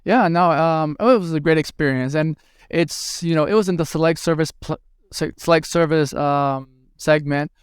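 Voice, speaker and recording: Smooth-voiced man from Venezuela. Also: fairly high pitch, moderate pace, no echo, studio quality. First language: Spanish. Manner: hesitant, many fillers and restarts. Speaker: guest, hospitality tech executive. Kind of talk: conversational interview answers.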